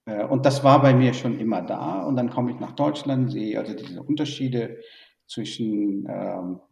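A man's voice: medium pitch, moderate pace, gravelly, darkish tone, recorded from a distance, highs cut off. A man from Burma, now living in Germany, speaking as an interview guest in a reflective, hesitant way with many fillers. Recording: echoey room, off-mic.

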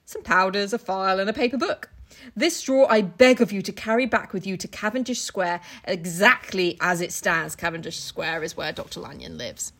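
A woman's voice: mid-range voice, normal speed, a bit bright. A young woman co-hosting a podcast, chatting casually and reading aloud in an irreverent, giggly, sweary way. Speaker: co-host of a book-retelling podcast. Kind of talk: casual chat with comic read-alouds.

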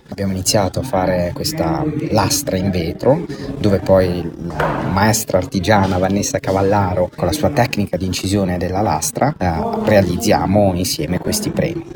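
A man, a business owner, answering informally and a little haltingly in an interview, with crowd noise behind him. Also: sits low. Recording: loud crowd chatter behind.

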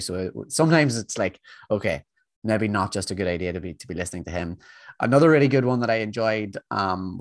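A man co-hosting a podcast, talking in a relaxed, meandering way full of ums and restarts. His voice sits fairly low. The sound is dry and close, with no room around it. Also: no room sound. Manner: rambling, with many fillers and restarts. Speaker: podcast co-host. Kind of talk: casual chat.

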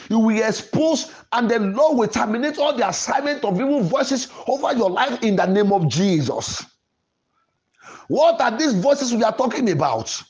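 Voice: medium pitch, darkish tone. A preacher delivering a sermon in an emphatic, declarative way, repeating phrases for emphasis. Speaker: preacher and podcast host.

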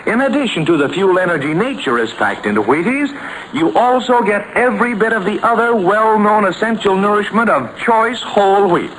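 A man's voice: high-pitched, lively intonation, moderate pace, fairly bright tone. A man announcing in hearty, emphatic 1940s radio style on a noisy vintage recording. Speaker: announcer and narrator.

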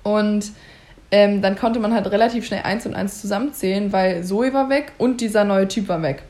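A young woman in a casual chat, telling a story in a lively way and laughing often. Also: medium pitch, moderate pace.

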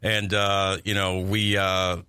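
Bright-voiced veteran sports anchor. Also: male voice, sits low, completely flat delivery, takes it slowly, no echo, clean studio sound. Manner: smooth broadcaster delivery. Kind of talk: radio-style podcast hosting.

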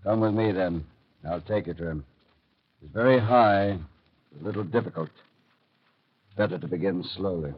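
An actor playing a grim, bitter French wine-shop keeper in an old radio drama, deliberate and ominous in manner. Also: male voice, low-pitched, breathy edge. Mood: guarded. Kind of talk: 1930s radio acting. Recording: dull old radio recording.

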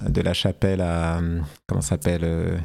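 Laid-back man with a lower voice. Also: normal speed, gravelly. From France. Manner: relaxed, hesitant with slang. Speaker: guest, fashion entrepreneur. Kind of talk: casual interview talk.

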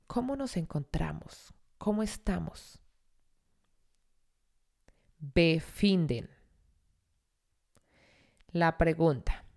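Slow, low woman teaching German. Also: gravelly, a little mellow. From Colombia. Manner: repeats phrases slowly for learners. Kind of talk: language lesson podcast.

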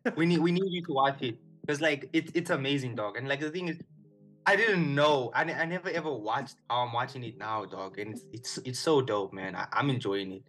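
Co-host, relaxed slangy talker, mid-pitched voice, with a faint music bed behind. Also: male voice, fairly quick. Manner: laid-back, slangy, keeps saying "dog" and "one hundred". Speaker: podcast co-host. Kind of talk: casual chat. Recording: music playing faintly underneath.

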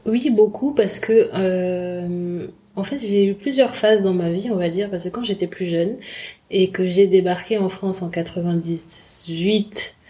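Soft-spoken woman with hesitant delivery. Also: lowish voice, measured pace. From Congo. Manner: hesitant, many fillers and restarts. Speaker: interview guest. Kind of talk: casual conversational interview.

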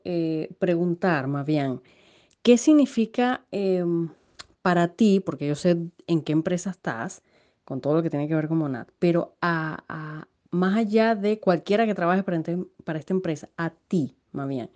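A woman from Venezuela with a Venezuelan accent, hosting a podcast interview and filling pauses with many "eh" and "este" sounds. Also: on the low side, mellow. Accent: Venezuelan Spanish. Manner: hesitant, many fillers. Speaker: podcast host. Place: home setup, recording at night.

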